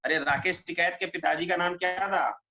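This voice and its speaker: A man's voice: sits high, steady tone, normal speed, bright, forceful tone. A man teaching a class in a conversational question-and-answer style, often checking that students follow. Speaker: teacher at a defence exam coaching class. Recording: muffled classroom recording with background noise.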